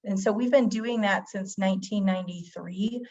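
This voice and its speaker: A woman's voice: medium pitch, normal speed, darkish tone. Woman presenter for a medical webinar, mid-range, slightly scratchy from a cold, lo-fi sound. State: getting over a cold. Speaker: physician presenter. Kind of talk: webinar presentation, semi-scripted. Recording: dull, band-limited webinar audio.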